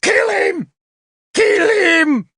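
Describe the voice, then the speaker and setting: A man's voice: very high-pitched, drawn-out words, bright, forceful tone, no room sound, studio quality, voice pushed up, full character voice. A man voicing a frenzied, vindictive man of a royal court, shrieking in an audiobook.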